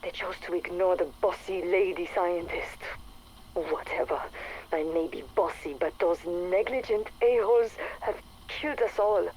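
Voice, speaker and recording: An actress playing a bossy, bitter scientist recording an audio log for a game, with a dry, blunt, exasperated manner. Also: female voice, lowish voice, fairly even intonation, normal speed, a little mellow, lightly acted. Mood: bitter, sardonic. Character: bossy, bitter, sardonic female scientist doctor. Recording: noisy audio log tape.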